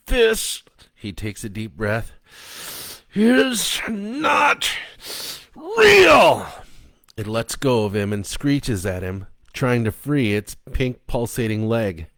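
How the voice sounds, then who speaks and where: A man voicing a desperate, defiant grown man who sounds strained and breaks into shouts. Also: lowish voice, measured pace, few pauses, dry recording, clean studio sound, natural voice. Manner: strained and pleading, rising to shouts.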